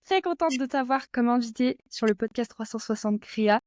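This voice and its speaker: A woman's voice: on the high side, normal speed, a little mellow. A woman hosting a podcast, asking questions in a friendly, upbeat way. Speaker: podcast host. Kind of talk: casual podcast interview. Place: near Paris.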